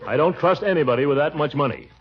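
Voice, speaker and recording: A man's voice: fairly high pitch, fast, natural voice. A man playing a pompous, blustering middle-aged uncle in 1940s radio sitcom acting. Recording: dull 1940s radio broadcast with faint hiss.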